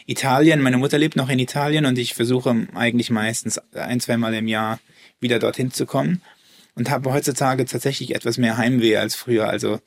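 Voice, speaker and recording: A man in his thirties speaks as an interview guest with a gravelly, creaky edge to an otherwise middle-pitched voice. He talks in a loose, hesitant way, restarting sentences and reaching for words as he thinks aloud. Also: fairly even intonation, a little fast. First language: German. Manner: hesitant, many restarts and fillers. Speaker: guest violinist. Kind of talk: casual interview talk.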